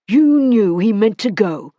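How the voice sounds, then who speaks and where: A woman's voice: on the low side, animated, no room sound, dull audio. A woman voicing a man in a cold frenzy, who speaks in an intense, hissing way. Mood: cold fury. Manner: intense, hissing, slow and forceful.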